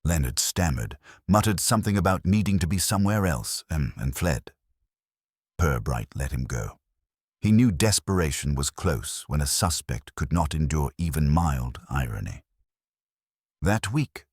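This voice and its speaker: A man narrating a scripted mystery story in a measured, literary and theatrical manner. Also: rumbling low, big pitch swings, breathy edge, no echo, clean studio sound.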